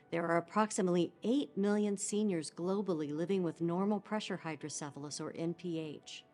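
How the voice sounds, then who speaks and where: A woman reading a scripted awareness announcement in an even, formal way. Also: fairly low pitch.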